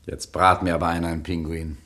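An actor playing an indignant gentleman gangster in a satirical retro radio crime play. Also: sits low, flat delivery, fast.

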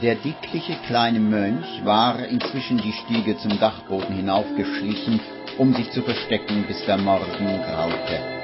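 A man's voice: on the low side, unhurried. A man narrating a 1950s radio play as a grave storyteller in a dramatic, literary manner. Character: grave storyteller of a dark comic tale. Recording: muffled old radio recording, music behind.

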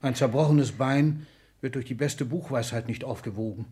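An aged industrial magnate played by a male actor in a 1960s radio drama. His medium-pitched voice is weighty, with the sardonic authority of a family patriarch in his seventies. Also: normal speed, warm tone, lightly acted. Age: 70s. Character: ailing seventy-year-old male industrial magnate, baronial father. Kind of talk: 1960s German radio play acting.

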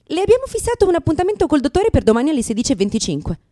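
Woman putting on a high, prim, officious clinic caller. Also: very animated, racing, warm tone, no echo, studio quality. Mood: prim reproach. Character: officious clinic caller, nagging about the baby.

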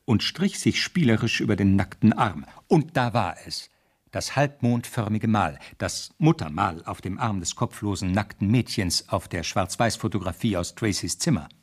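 Husky policeman narrator, fairly low. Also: animated, normal speed. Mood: reflective narration. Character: male police officer narrating the case as his memoir. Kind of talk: scripted first-person radio drama narration.

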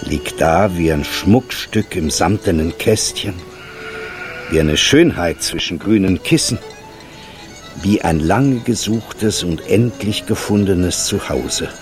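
Deep, slow fairy-tale storyteller. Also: male voice. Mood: storytelling. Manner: deliberate, dramatic storytelling. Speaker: narrator. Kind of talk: scripted fairy-tale radio narration. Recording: music behind.

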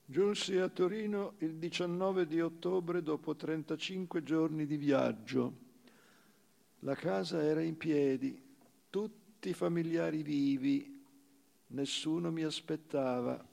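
A man giving a solemn, measured dramatic recitation of literary prose on stage, with deliberate pauses. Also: on the high side, gravelly, mellow. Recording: live theatre recital with long hall reverb.

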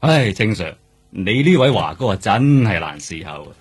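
Husky, low, grumbling detective. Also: male voice, big pitch swings, moderate pace, full character voice. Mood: exasperated. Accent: Hong Kong Cantonese. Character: grumbling, cajoling male police detective. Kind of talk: Cantonese radio drama acting.

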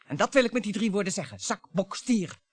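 Theatrical, bitter wife, swooping pitch. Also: female voice, animated, fairly quick, breathy edge, no echo. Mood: scornful. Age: 50s. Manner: theatrical, mocking, swinging between coaxing and scorn. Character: bitter, theatrical wife in her fifties, taunting her husband. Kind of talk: radio drama acting.

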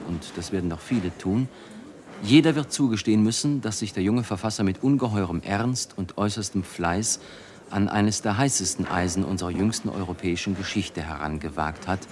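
Formal guy doing scripted radio commentary, lowish voice, bit of hiss. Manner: measured, formal.

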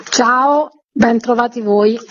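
A woman's voice: a little high, takes it slowly, noisy background. A woman, speaking conversationally as a theatre guest presenting a programme in a radio interview. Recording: dull, lo-fi call audio.

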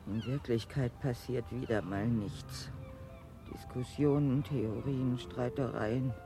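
A woman's voice: rumbling low, takes it slowly, full character voice. An actress playing a restless, coquettish and world-weary woman in a theatrical radio drama, musing in repetitive, singsong phrases. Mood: weary, brooding. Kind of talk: theatrical radio drama acting, part rhythmic monologue. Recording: music behind the voice.

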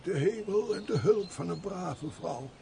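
An actor playing a pious, frail peasant of about seventy in a theatrical radio play, with an aged voice and emotive sighs. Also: male voice, high-pitched, lively intonation, mellow, exaggerated voice. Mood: wistful sorrow. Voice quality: creaky, aged voice. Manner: slow, emotive, full of sighs and exclamations. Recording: faint background noise, old radio play.

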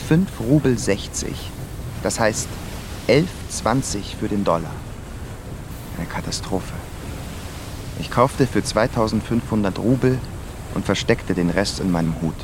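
An actor in a radio drama playing a wry, reflective émigré composer narrating a travel diary. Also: male voice, lowish voice, expressive, a little slow, long pauses, background noise. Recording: prominent music behind the voice.

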